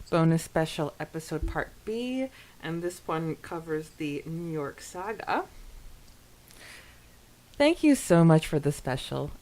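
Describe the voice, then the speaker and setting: Giggly, lower-pitched woman podcast co-host. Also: a little mellow. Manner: giggly, enthusiastic. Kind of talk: casual chat and reading listener comments aloud.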